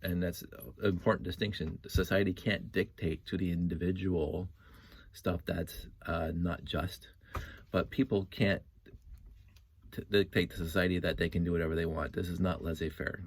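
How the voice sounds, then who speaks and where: Deep-voiced man in his fifties, ranting casually. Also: fairly even intonation, moderate pace, gravelly, breathy edge. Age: 50s. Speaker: host of a story-time monologue. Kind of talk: casual opinionated rant.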